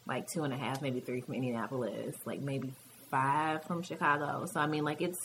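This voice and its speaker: A woman's voice: a bass voice, fairly even intonation, brisk, fairly bright tone, slight background noise. A woman with an American accent, Southern with Midwestern touches, chatting casually in a wry, self-deprecating way. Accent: American, Southern with Midwestern traits. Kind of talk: casual chat.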